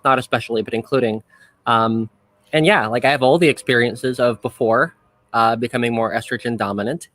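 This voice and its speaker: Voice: mid-range voice, fairly bright tone, no room sound. A podcast host talking casually in an earnest, discursive way, rephrasing ideas along the way.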